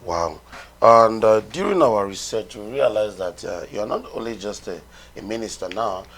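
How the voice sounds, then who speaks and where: A man's voice: fairly low pitch, lively intonation, normal speed, fairly bright tone. A man, hosting a conversational interview in an informal, admiring way. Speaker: interview host. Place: TV studio, seated.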